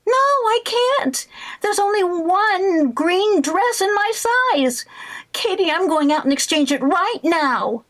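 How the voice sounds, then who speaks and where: A woman playing a flustered, scheming housewife in old-time radio comedy, expressive and exclaiming. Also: very high voice, slow pace, full character voice. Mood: dismayed.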